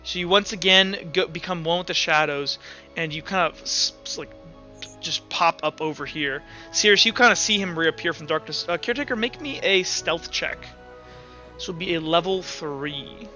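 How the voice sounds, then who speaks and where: Game master, male, high forceful voice, informal tabletop narration, lo-fi sound over music. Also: moderate pace. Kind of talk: casual tabletop roleplay narration and rulings.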